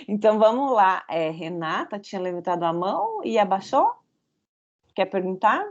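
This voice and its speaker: A woman moderating an online panel, quick and friendly, laughing between phrases. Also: mid-range voice, big pitch swings, dull audio. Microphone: video call.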